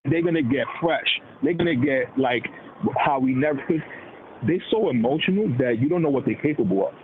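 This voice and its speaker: Animated man on a tinny, noisy line. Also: mid-range voice, brisk. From Dominican heritage. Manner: animated, slangy, puts on quoted voices. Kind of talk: casual political chat. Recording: tinny phone-like line with noise.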